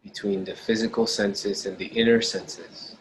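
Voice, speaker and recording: Low-voiced man guiding a slow meditation. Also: flat delivery, poor audio. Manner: slow, deliberate, pausing between short phrases. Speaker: meditation guide. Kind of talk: guided meditation. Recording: clearly audible background noise.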